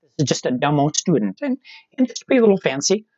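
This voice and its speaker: A middle-aged instructor walks listeners through a tech lesson one step at a time. The voice sits at a medium pitch and often pauses to check understanding. The sound is close and dry, with a slightly dull, lo-fi online quality. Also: sing-song, warm tone, no echo. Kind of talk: live online tech training, explaining steps.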